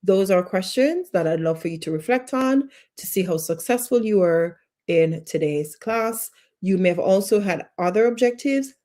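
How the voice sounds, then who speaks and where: A woman leads a live-streamed lesson. She speaks in an encouraging, repetitive way, asking the viewers questions and checking in with them. Her voice sits at a middle pitch. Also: animated, normal speed, dark tone. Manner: encouraging, repeats short questions, reads out viewer comments. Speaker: life coach teaching a live online class. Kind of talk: live-streamed class, talking to viewers in chat.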